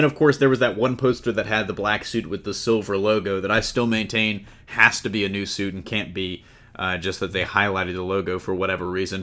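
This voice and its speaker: A man's voice: fairly low pitch, a bit bright. A man hosting a casual entertainment news discussion in a conversational way, putting questions to his co-hosts. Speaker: podcast host.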